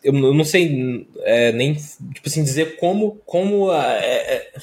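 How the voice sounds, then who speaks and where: A man's voice: on the high side, unhurried, a little mellow, echoey room. A young man from the interior of Goiás, Brazil, with a local accent, chatting casually and telling stories in a slangy, rambling way. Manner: informal, slangy, rambling with many verbal tics. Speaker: podcast guest, software engineer. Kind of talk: casual chat, storytelling.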